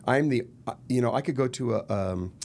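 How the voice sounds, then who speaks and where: A man's voice: mid-range voice, brisk, slight background noise. A middle-aged man talking animatedly as a guest in a podcast studio, speaking into a desk mic. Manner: animated, gestures a lot while talking. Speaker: guest, murder mystery company founder. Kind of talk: casual podcast interview. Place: purple-lit podcast studio. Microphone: desk mic on boom arm.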